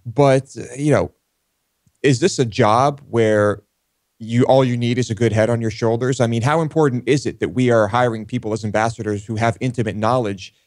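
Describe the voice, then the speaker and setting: Male co-host, medium pitch, casual and tentative delivery, dry close studio sound. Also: fairly even intonation, normal speed, a little mellow, no echo. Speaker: talk show co-host. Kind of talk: casual discussion.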